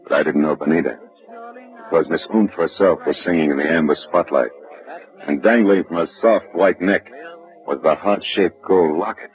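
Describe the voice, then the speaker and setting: An actor playing a tough, wisecracking private detective in a 1940s radio drama, narrating and talking in a sardonic way. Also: male voice, a bass voice, normal speed. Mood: wry narration. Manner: sardonic, hard-boiled. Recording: dull old radio recording with music.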